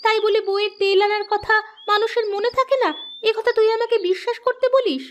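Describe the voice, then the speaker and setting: A very high, thin-pitched village wife played by a woman in a cartoon. She chides and nags her husband expressively, yet she clearly cares. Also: fairly even intonation, fairly quick. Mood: nagging. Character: nagging but caring village housewife. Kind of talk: cartoon voice acting.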